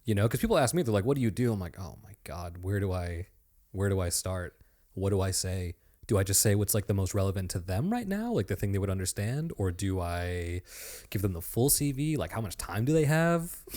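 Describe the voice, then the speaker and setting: Joking man with a deep voice. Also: animated, fairly quick, warm tone. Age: 30s. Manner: joking, playful, swears casually. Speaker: podcast guest. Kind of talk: casual podcast chat.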